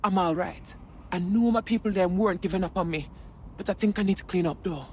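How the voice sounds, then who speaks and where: A woman's voice: on the low side, a little fast, darkish tone, slight background noise, close to an everyday voice. A woman playing a gritty resistance operative in a video game, informal and streetwise. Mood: weary, relieved. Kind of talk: scripted game dialogue.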